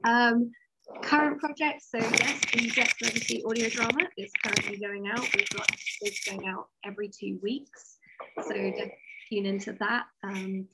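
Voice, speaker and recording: A woman's voice: a little high, steady tone, moderate pace, very noisy. A woman speaking as a writer being interviewed, in a casual, conversational way. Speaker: interview guest, science fiction writer.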